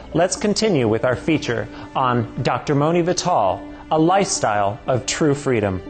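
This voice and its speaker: A man narrating a documentary, in a measured, scripted style. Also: a little high.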